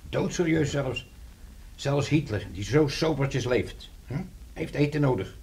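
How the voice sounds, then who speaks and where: A man's voice: mid-range voice, lively intonation, a little slow, darkish tone. An actor playing a sardonic, scheming man with a drawling manner, in a radio drama. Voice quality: sardonic, drawling, full of ideas. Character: sardonic, scheming man, a friend starting a business.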